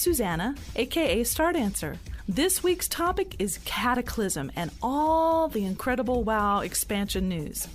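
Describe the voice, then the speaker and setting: A woman podcast host reading a scripted show introduction over music. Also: on the high side.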